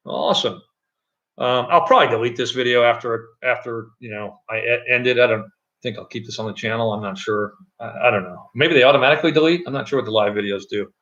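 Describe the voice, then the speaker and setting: A middle-aged man with a loud voice, chatting loosely on a live stream from an echoey room at home, with many fillers and restarts. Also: medium pitch, highs cut off. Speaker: channel host. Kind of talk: casual live-stream chat.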